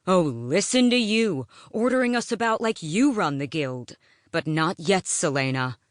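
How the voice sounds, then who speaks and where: A woman's voice: lowish voice, animated, measured pace, no echo, studio quality, voice pushed up. A woman voicing a defiant young male assassin in an audiobook, with heated, scornful retorts.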